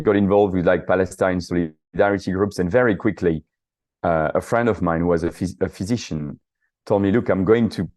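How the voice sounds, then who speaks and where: A man's voice: sits low, darkish tone. A middle-aged man from the south of France with a French accent, a guest answering interview questions in a hesitant way, with many fillers and restarts. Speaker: guest, author and activist.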